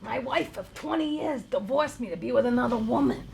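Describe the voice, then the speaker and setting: A woman's voice: a little high, fairly quick, creaky, a crowd in the background. A woman playing a lonely, self-pitying divorced New York bartender in his fifties, mopey and hesitant, in a comedy table read. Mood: nervous, awkward. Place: theater stage, live show. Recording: live stage reading, faint noise.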